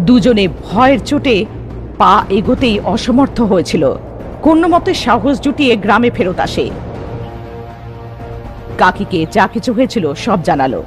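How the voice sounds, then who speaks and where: A man's voice: high voice, big pitch swings, normal speed, pauses often. A man reading scripted narration for a horror story cartoon. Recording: long hall reverb over music.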